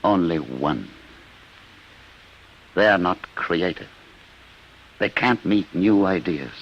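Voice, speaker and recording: A grave, weary inventor in an old radio play, extremely deep and gravelly. He speaks formally and deliberately, heavy with remorse. The recording is muffled with faint hiss. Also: lively intonation, heavily acted. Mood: grave, determined. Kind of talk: 1950s radio drama acting.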